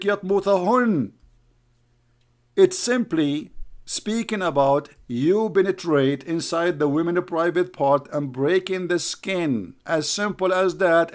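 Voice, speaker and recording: Guy ranting, mocking and sneering, kinda high voice. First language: Arabic. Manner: mocking, scornful, calls names. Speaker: online commentator. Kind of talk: heated argumentative commentary.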